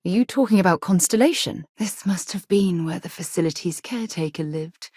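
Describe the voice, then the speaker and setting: Earnest, husky, low-voiced space explorer. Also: female voice, brisk, dark tone, no echo, studio quality. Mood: composed, earnest. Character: earnest, principled woman space explorer, scientist and expedition leader. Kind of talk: video game companion dialogue.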